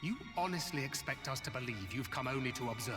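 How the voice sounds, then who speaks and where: An actor playing an indignant, distrustful hero in a video game, speaking formally and with stern emphasis. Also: male voice, medium pitch, expressive, fast talker, close to an everyday voice. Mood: indignant, defiant. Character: indignant, distrustful heroic man, sternly principled. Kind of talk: dramatic video game voice acting. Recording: long hall reverb, music behind.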